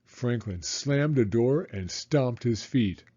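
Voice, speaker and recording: A male children's story reader with a soft-edged, dark tone. He narrates deliberately and expressively, with big melodic movement and a slow pace made for young listeners. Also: medium pitch, lively intonation, highs cut off.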